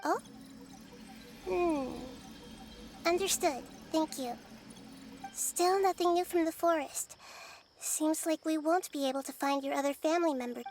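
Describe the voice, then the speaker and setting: A woman playing a wise, childlike young goddess in a video game, gentle, composed and thoughtful. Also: sits high, unhurried, long pauses, soft background music. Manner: thoughtful, reassuring, explanatory. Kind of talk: scripted game dialogue.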